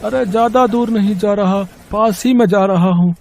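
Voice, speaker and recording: A man's voice: sits high, moderate pace, vocal fry, a little mellow, a little hiss or hum. A man voicing a poor village labourer, a caring husband and father, earnest and fretful, in a moral-story cartoon. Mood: worried.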